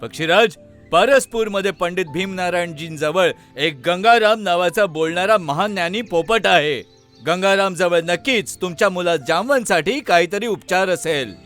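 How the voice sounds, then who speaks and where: A man's voice: high-pitched, moderate pace, a bit bright, soft background music. A man voicing a loyal eagle warrior who addresses his king with formal respect in a children's cartoon. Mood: eager, respectful. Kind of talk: animated children's story dialogue.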